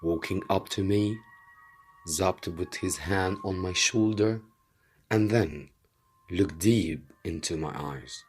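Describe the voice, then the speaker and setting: A man reading a scripted story aloud as a teacher, with dramatic, deliberate phrasing. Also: low voice, slightly rough, soft background music.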